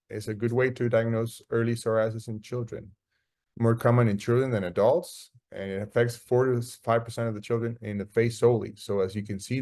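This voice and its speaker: Low-pitched man lecturing. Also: darkish tone. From Costa Rica. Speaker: dermatologist giving a lecture. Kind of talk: medical slide presentation.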